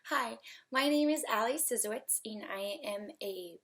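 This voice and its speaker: A woman's voice: a little high, moderate pace, fairly bright tone. A woman composer from the United States introducing her choral piece in an earnest, upbeat way, with frequent ums and restarts.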